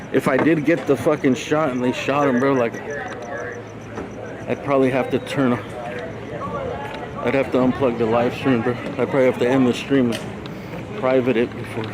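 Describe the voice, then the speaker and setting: A man's voice: medium pitch, level tone, moderate pace, long pauses, a crowd in the background. A man chatting casually as a live streamer, slangy and prone to swearing, with bro-talk.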